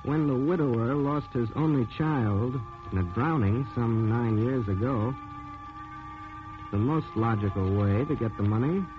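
A man narrates as host of a 1940s radio mystery program. His delivery is measured and dramatic at a medium pitch, with faint music and a dull old recording.

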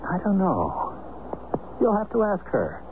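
A man's voice: sits high, huge pitch swings, measured pace, full character voice. An actor playing a rueful, dry-humored husband turned reluctant father, in 1970s radio drama style. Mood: conflicted. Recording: dull, noisy old radio broadcast recording.

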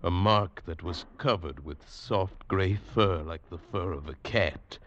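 An actor playing a haunted, desperate man in a 1940s radio drama, halting, intense and brooding. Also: low voice. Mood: brooding, resolved. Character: haunted, desperate man clinging to his sanity. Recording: muffled vintage radio, music behind.

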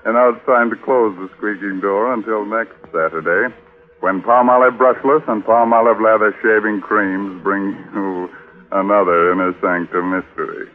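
A man's voice: on the low side, fairly even intonation, moderate pace, phone-quality sound. A man hosting a 1940s radio mystery show in a theatrical, sly, tongue-in-cheek manner. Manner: theatrical, sly, macabre joking. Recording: thin 1940s radio recording.

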